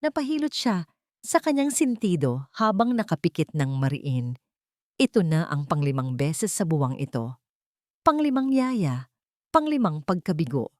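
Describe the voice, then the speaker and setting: Low-voiced woman narrating drama. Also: big pitch swings, normal speed, a little mellow, dry recording, studio quality. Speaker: audiobook narrator. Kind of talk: dramatic scripted storytelling.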